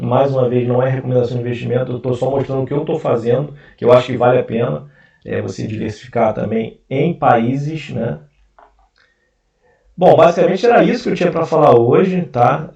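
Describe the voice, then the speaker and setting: Gravelly Carioca man, roomy distant sound. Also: medium pitch, warm tone. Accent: Carioca Portuguese. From Brazil. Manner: hesitant, many fillers and restarts. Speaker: investment channel host. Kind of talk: casual screen-share explainer. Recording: roomy, distant, dull mic.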